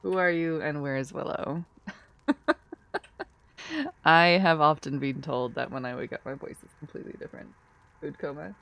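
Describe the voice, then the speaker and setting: A woman's voice: low voice, animated, measured pace, gravelly, pauses often, fairly bright tone. A woman streamer just woken from a nap, chatting drowsily with her viewers in a sleepy, giggly, rambling way. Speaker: live streamer. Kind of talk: casual live-stream chat, answering chat.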